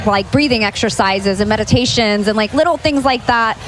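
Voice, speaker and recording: A woman's voice: a little high, fairly quick, slight background noise, rough recording. A woman around her forties chatting casually and anecdotally as a podcast co-host. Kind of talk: casual chat. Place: live podcast at a restaurant.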